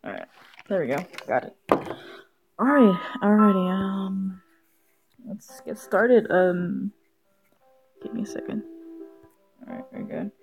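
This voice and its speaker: A woman live-streaming a game, chatting casually and haltingly as if half to herself. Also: mid-range voice, big pitch swings, gravelly. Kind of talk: casual live-stream chat while setting up and playing a visual novel. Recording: dull lo-fi mic with music behind.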